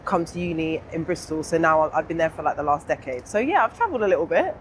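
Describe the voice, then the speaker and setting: A woman's voice: deep voice, lively intonation, poor audio. Reflective young southern English woman, background noise. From England. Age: young adult. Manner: reflective, informal, pausing to think. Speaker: interview guest. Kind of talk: candid personal interview.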